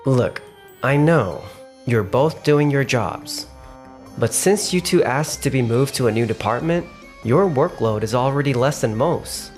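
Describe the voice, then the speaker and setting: A man playing a firm but polite sales manager in a manga dub, measured and businesslike. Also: mid-range voice, lively intonation, soft background music, natural voice. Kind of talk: manga dub acting and narration.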